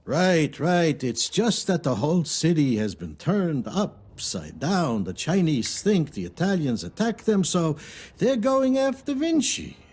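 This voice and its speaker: A man's voice: fairly high pitch, very animated, darkish tone, husky, full character voice. A man playing a sly, smug loan shark in a video game cutscene, insinuating and falsely courteous. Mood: mock-polite, probing. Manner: unhurried, insinuating, mock-polite.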